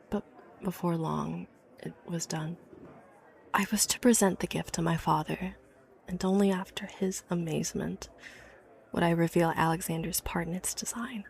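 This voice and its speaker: A woman's voice: fairly low pitch, gravelly. A woman playing an obsessive, haunted young inventor from a wealthy family in a horror audio drama, confiding hesitantly with phrases that drop to a whisper. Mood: tense recollection. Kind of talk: horror audio drama acting, confessional monologue. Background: background music.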